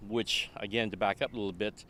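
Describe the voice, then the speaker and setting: A Canadian man in his late sixties, a retired shop owner, telling stories about his family business in a relaxed way and laughing at his own jokes. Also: on the low side, a little fast, slight background noise. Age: older. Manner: chuckling, self-deprecating. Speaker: guest. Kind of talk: casual storytelling.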